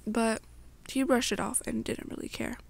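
A young woman telling an ASMR roleplay story in the second person, her breathy voice sometimes dropping toward a whisper. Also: fairly high pitch, fairly even intonation, moderate pace.

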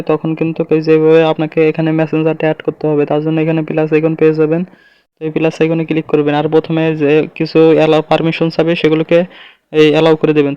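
A man's voice: fairly high pitch, mellow, clean tone, no echo. A man hosts an informal tutorial, narrating step by step and pointing things out as he goes with repeated filler phrases. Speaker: tutorial video host. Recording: slightly dull, lo-fi close recording.

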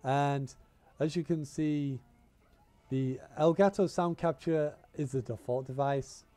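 A man talking through a tech setup with a cold in his voice, his tone slightly high for a man yet dark and mellow. He keeps it chatty and plain, stringing together "you wanna" steps. Headset mic, recorded at home. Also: slow pace, no echo. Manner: repeats "you wanna" instructions, informal and chatty. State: has a cold and a tickly cough. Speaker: channel host giving a tech tutorial. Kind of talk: casual step-by-step screen-recording tutorial. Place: home room, indoors. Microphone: gaming headset mic.

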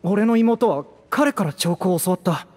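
A woman voicing a hot-headed, loyal young male mercenary in an anime game, with impassioned, stubborn, rough speech. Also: mid-range voice, lively intonation, moderate pace, a little mellow, natural voice. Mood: agitated disbelief. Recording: roomy reverb, faint music behind.

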